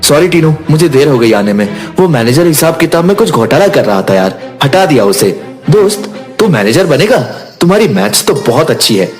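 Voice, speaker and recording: A man playing a kind, confident young cook and restaurant owner, reassuring and friendly, in an animated moral story. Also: a little high, moderate pace, faint music behind.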